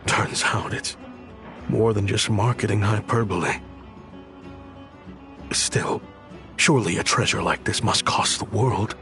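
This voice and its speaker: An actor voicing a weary adult man who looks after children, speaking in a thoughtful, wry, measured way. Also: on the low side, fairly bright tone, soft background music. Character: weary adult man caring for orphaned kids. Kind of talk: video game dialogue.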